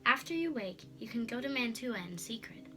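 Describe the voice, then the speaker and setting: A woman's voice: on the high side, expressive, brisk, a bit bright, faint music behind, pitched above the performer's own voice. A woman voicing a kindly, troubled friar in a storybook, earnest and reassuring. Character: kindly troubled old friar counselling a girl.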